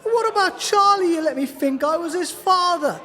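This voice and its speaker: A rough British working-class man as acted in a radio play, aggrieved and blunt, his pitch leaping about melodramatically. The voice carries a long reverb. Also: expressive, moderate pace, bright, forceful tone, a faint crowd, heavily acted. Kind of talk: comic radio play acting.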